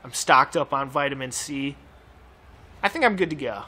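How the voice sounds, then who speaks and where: A man's voice: medium pitch, lively intonation, normal speed, sharp tone, husky. A young man chatting loosely over his gameplay as a channel host. He rambles, swears freely, and is slightly losing his voice with a numb mouth. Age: young adult. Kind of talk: casual gameplay commentary.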